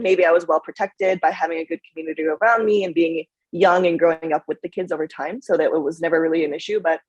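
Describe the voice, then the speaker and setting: Young woman, panel guest, quick pace, husky tone, dry close sound. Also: lowish voice, a little mellow, no room sound, low-quality recording. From Japan. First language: Japanese. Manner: open, conversational, reflective. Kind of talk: casual panel talk.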